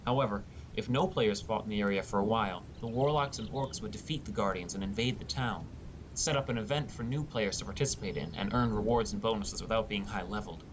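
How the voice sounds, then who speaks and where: A man reading a first-person fantasy story as an audiobook narrator. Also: fast. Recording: rumbly background noise.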